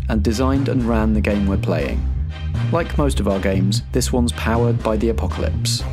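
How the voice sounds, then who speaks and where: A man's voice: medium pitch, reverberant. A man hosting a roleplaying podcast, asking questions and presenting in a conversational way. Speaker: podcast host and game master. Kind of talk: conversational interview and credits narration.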